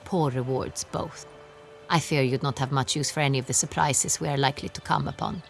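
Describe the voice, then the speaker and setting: A low female voice plays an imperious game duchess, regal and formal. She speaks as a ruler used to obedience, haughty and easily indignant. Faint music plays underneath. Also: fairly even intonation, a little fast, vocal fry. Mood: composed, regal. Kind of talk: video game character acting.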